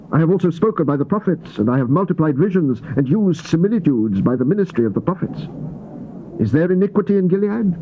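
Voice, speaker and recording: A man gives a grave, theatrical scripture reading, declaiming with deliberate phrasing and emphatic pauses. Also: mid-range voice. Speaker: scripture narrator. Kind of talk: dramatized Bible reading, solemn and theatrical. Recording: dull, noisy old cassette tape transfer.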